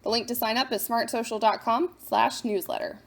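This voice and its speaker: A woman's voice: a little high, steady tone, fairly quick. A woman hosting a scripted explainer for parents, instructive, posing questions and then answering them. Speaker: host of a parent guide to apps.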